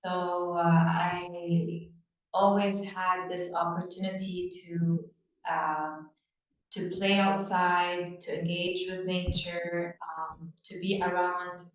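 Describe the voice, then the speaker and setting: Woman from lebanon, lowish voice, kinda far off the mic in an echoey room, slow thoughtful answers. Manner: soft-spoken, reflective, with many pauses and fillers. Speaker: play therapist guest. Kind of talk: podcast interview.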